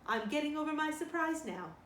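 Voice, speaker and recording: A woman's voice: high voice, animated, a little fast, a bit bright, roomy sound, a little hiss or hum, voice pushed up. A woman voicing a plain-spoken, resolute middle-aged farm woman in a storybook, in a dry, matter-of-fact manner. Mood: candid, firm.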